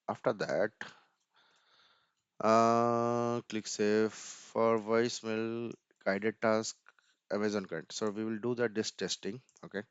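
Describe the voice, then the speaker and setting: A man's voice: fairly low pitch, flat delivery, slow pace, clear, resonant tone, no room sound, dull audio. A man giving an informal screen-share tech walkthrough, thinking aloud hesitantly and often repeating words. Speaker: tutorial presenter.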